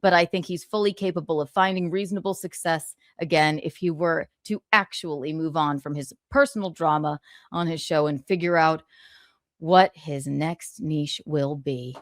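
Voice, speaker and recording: A woman's voice: sits low, normal speed, no echo, clean studio sound. A woman livestreaming, switching between casual chat and reading book passages aloud, with gloating, sarcastic, theatrical emphasis. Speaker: streamer.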